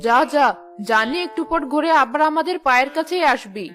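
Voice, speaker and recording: A woman's voice: high-pitched, fairly quick, fairly bright tone, soft background music. A woman voicing a greedy, scolding middle-aged aunt who talks sharply and accusingly, in a cartoon. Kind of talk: cartoon voice acting.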